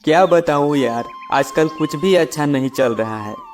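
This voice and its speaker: Man voicing a scheming, jealous cartoon farmer, higher-pitched. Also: expressive, a little slow, over music. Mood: downcast. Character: greedy, jealous male farmer, scheming and pleading. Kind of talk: acted children's moral story.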